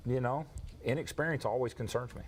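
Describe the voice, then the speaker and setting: A man giving a live sports TV interview as a college football coach, measured and halting as he thinks through his answers. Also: medium pitch, slight background noise.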